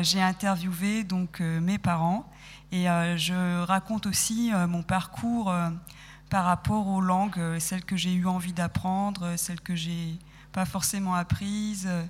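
A woman in her thirties, a radio guest, explaining her project in a thoughtful, halting way and correcting herself often. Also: fairly low pitch, big-room sound. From France. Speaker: guest, sound podcast maker. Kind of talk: radio interview conversation.

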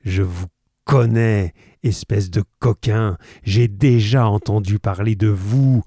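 A man voicing a furious, menacing old man in a read-aloud story, loud and threatening. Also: fairly low pitch, very slow, no room sound, studio quality.

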